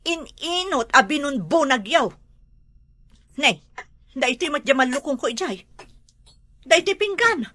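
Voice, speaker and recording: An actress with an Ilocano accent playing a proud, reproachful elder sister who owns a farm, in a comedy drama. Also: female voice, high-pitched, lively intonation, unhurried, long pauses, pushed and bright, heavily acted. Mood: indignant, scolding. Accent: Ilocano, northern Philippines.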